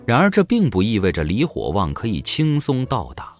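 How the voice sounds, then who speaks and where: Guy narrator for a story drama, lowish voice, pitch swings loads, faint music underneath. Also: wildly swooping pitch, normal speed.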